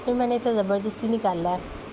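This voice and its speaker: A young woman with a sore, hoarse throat, chatting fondly as a family vlogger about her little daughter, often clearing her throat. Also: on the high side, a little fast. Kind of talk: casual voice-over narration. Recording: voice with clear background noise.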